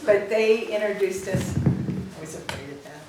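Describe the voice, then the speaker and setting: A woman's voice: mid-range voice, measured pace. A woman telling an informal, rambling anecdote as a speaker at a memorial gathering. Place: back room at a memorial gathering. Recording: echoey noisy room, far from mic.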